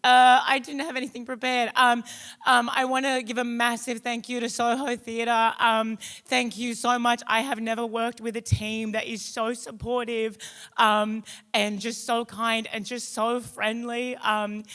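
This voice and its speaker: A tired, tearful woman with an Australian accent, a comedian giving a halting, overwhelmed, unprepared acceptance speech. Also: a little high, little pitch movement, a bit bright, smooth. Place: large reverberant hall at a live ceremony. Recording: echoey hall with long reverb.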